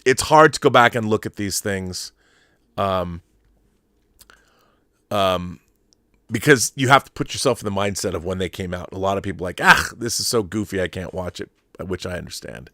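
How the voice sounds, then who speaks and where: A man's voice: low voice, normal speed, pushed and bright, no room sound. Middle-aged American man, dry close sound. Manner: rambling, enthusiastic, emphatic. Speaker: YouTube show host and filmmaker. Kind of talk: casual opinionated livestream chat.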